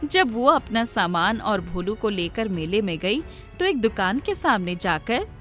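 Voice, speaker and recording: A woman's voice: medium pitch, sing-song, unhurried, sharp tone. A woman narrator reading a scripted story for children. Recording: music playing behind the voice.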